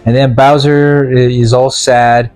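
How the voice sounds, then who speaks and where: A man's voice: medium pitch, flat delivery, unhurried, a little mellow, clear, resonant tone, faint music behind. A man giving a casual, comedic ranting recap as a video game movie reviewer, rambling and stumbling over his words with exasperation.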